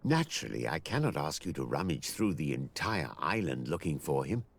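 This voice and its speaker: Older professor, courteous, scholarly, gravelly creak, lively intonation, fantasy game. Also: male voice, rumbling low, normal speed, full character voice. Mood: earnest, explanatory. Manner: polite, learned, wordy. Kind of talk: fantasy video game acting.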